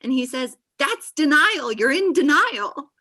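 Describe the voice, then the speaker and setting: An actress plays an overexcited, talkative young woman in a comedy read. Her voice is high and very bright. She gushes with animated energy over a video call. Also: a little slow, exaggerated voice. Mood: gushing. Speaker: actor in a table read. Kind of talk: acted comedy table read. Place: small indoor room on a video call. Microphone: computer mic on video call.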